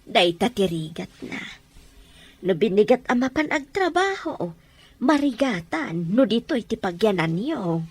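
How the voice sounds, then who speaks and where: A woman with an Ilocano accent playing a caring mother who speaks gently to her daughter and chides her firmly. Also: medium pitch, sing-song, measured pace, slightly rough. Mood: tender. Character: caring mother of a soon-to-be bride.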